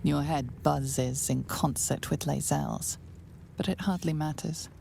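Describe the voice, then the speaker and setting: Video game storyteller, knowing, ominous, very creaky voice, light ambient noise. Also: female voice, sits low, measured pace, mellow, heavily acted. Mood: knowing, detached. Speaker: game narrator. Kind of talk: second-person video game narration.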